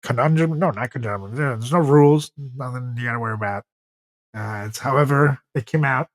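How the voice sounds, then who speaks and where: A man's voice: medium pitch, a little fast, dry recording. A man hosting a podcast in casual, joking chat, often repeating his own phrases. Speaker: podcast host.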